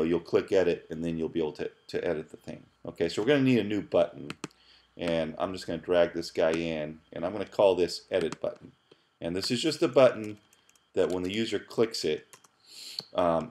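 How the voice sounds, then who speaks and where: A man with a very deep voice talks through a programming screencast. He explains casually as he goes, thinking aloud with fillers and restarting words. He sounds middle-aged and relaxed, like a teacher at his desk. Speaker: professor teaching app programming. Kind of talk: screencast tutorial narration.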